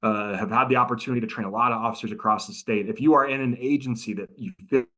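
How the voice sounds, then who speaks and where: A man's voice: mid-range voice, steady tone, fast talker, a bit bright. A middle-aged man giving a casual, chatty training presentation over a video call as a prosecutor and trainer. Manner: casual, chatty, interactive with audience.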